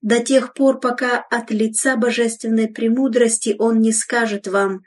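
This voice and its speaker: A woman giving a solemn, measured devotional reading in an exhorting tone, heard from some distance from the microphone. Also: a little high, level tone. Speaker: reader of devotional text.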